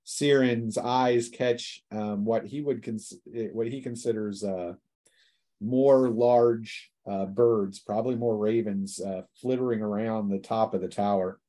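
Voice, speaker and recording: A man running a tabletop roleplaying session as game master, narrating scenes in a halting, drawn-out way. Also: on the low side, steady tone, dark tone.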